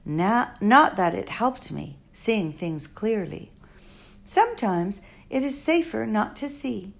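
A woman's voice: mid-range voice, big pitch swings, slow pace, creaky, heavily acted. A woman doing an eccentric old lady philosopher. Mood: musing. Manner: stilted formal phrasing, musing. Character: eccentric elderly female philosopher, old-world formal. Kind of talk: audiobook character reading.

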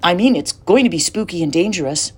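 A woman's voice: on the low side, animated, fairly quick, husky. A woman voicing a protective, nervous boy who talks urgently. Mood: wary.

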